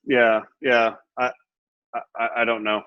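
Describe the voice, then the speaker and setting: A man's voice: medium pitch, flat delivery, takes it slowly, clear, resonant tone, dry recording. A middle-aged man, a Senate candidate, speaking earnestly and with passion as a guest, often restarting his words. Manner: impassioned, repeats and restarts words. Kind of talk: earnest interview talk. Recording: muffled dry audio.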